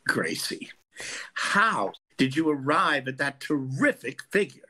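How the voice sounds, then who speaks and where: An older, husky psychiatrist speaks in widely swinging intonation. He is exasperated and dryly witty, in the manner of 1940s radio comedy. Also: medium pitch, expressive, takes it slowly, sharp tone, heavily acted. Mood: incredulous. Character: exasperated, dry-witted male psychiatrist.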